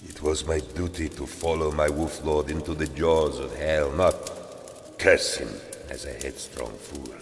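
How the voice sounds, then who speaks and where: Very deep, brooding warrior storyteller. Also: male voice, fairly even intonation, slight background noise. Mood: somber. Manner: grave, measured, solemn. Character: brooding battle-worn Space Wolf warrior recounting a lost battle. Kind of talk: dramatic audio-drama storytelling. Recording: long reverb with music underneath.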